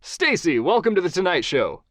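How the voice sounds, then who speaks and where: Slick, jovial male late-night host, lifted and lively, played by a man narrator. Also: high voice, normal speed, no echo, pitched above the performer's own voice. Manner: jovial, chummy, playful. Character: charming smooth male talk-show host. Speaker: talk-show host figure.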